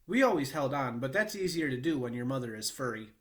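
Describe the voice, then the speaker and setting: A man reading a children's book aloud in a measured, expressive storytelling manner with short pauses. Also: mid-range voice. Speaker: read-aloud reader.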